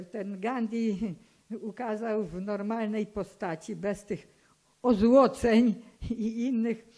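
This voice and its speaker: An elderly woman in her eighties speaks in a somewhat high voice. She gives a personal testimony to a hall through a stand microphone. Her manner is earnest and admonishing, and she repeats phrases deliberately to press her point. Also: darkish tone. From Poland. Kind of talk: public talk, personal testimony. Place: indoor hall with stage and seated audience. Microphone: microphone on a stand, close to the mouth.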